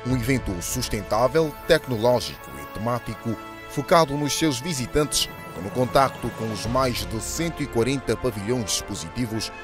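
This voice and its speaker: A man's voice: mid-range voice, lively intonation, normal speed, music in the background. A man reads formal scripted news narration in a promotional tone.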